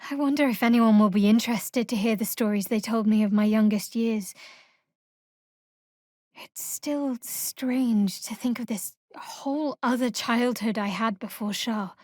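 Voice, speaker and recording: A woman's voice: fairly high pitch, unhurried, a little mellow, no room sound. A woman playing a guarded, sardonic half-elf cleric in a fantasy video game, with a dry delivery. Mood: composed, observant.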